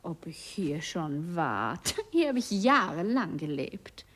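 An actress playing a grandiose, mocking stage diva in a radio play, with a theatrical, teasing delivery. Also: female voice, lowish voice, big pitch swings, takes it slowly, fairly bright tone, exaggerated voice. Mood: mocking, capricious.

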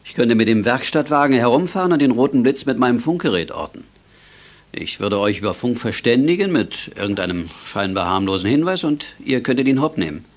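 A man's voice: mid-range voice, animated, a little fast, lightly acted. An actor playing an easygoing middle-aged father in a children's radio play, genial, avuncular and instructive. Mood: helpful, relaxed.

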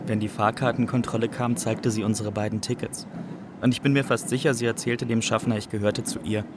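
A man's voice: on the low side, steady tone, a little fast, background noise, lightly acted. An actor playing a young refugee who recounts his journey in plain, observant radio drama narration. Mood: calm. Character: young male refugee recounting smugglers and border crossings.

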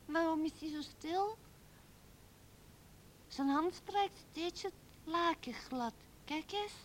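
A woman's voice: very high voice, takes it slowly, a little hiss or hum. A woman playing a small, curious child in a radio drama, plaintive and full of simple wonder.

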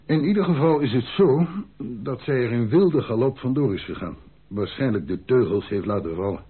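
Husky, worried army captain. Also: male voice, medium pitch, lively intonation, moderate pace. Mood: grave, recounting. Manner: deferential, emphatic storytelling. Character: frank, worried army captain, loyal family friend. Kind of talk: Dutch radio drama acting. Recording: muffled, band-limited old radio recording.

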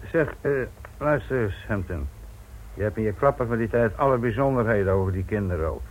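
A weary male police inspector, played by an actor in a radio play. He speaks in a fairly low voice, clipped and gruff, with frustration under the words. A light noise sits on the old recording. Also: lively intonation, a little fast, close to an everyday voice, low-quality recording. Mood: probing. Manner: gruff, curt, mutters curses. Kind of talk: Dutch radio play acting.

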